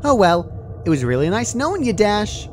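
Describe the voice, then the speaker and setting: Man putting on a high-pitched, gleefully sadistic girl pony. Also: very animated, moderate pace, noisy background. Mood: mock regret. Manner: jovial, mock-sweet, joking. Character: gleeful, sadistic female pink cartoon pony, cheerfully joking. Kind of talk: horror fan fiction character voice.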